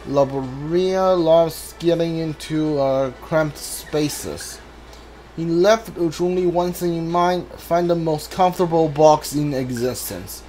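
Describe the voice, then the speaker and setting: A man giving casual, halting let's play commentary on a video game, musing to himself as he goes. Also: a little high, a little mellow, a little hiss or hum, low-quality recording. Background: video game music.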